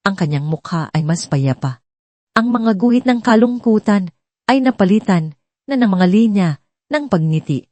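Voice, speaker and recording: A woman's voice: medium pitch, lively intonation, normal speed, darkish tone, no echo. A woman narrating a scripted dramatic story.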